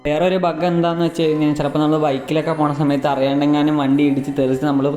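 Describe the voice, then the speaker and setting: Fast-talking man, fairly flat delivery. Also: on the high side. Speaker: gaming video creator. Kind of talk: casual gaming tips voice-over. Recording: roomy echo with music underneath. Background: music.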